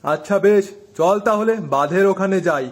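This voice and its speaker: A man voicing an authoritative but obliging village headman in an animated folk tale, with a husky voice. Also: high-pitched, moderate pace, natural voice. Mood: concerned, decisive.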